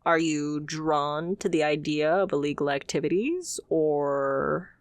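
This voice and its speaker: Poised, low-voiced supervillainess, acted by a woman. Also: dry recording. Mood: teasing, intrigued. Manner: poised, teasing, condescending, deliberate. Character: confident female supervillain boss, haughty yet playfully amused. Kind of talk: scripted ASMR roleplay.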